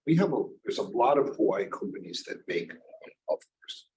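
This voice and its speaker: Casting note: male moderator, mid-range voice with very lively pitch, light faint background noise. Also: moderate pace, rough recording. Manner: lively, joking questioner. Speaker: seminar moderator. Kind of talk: moderating questions.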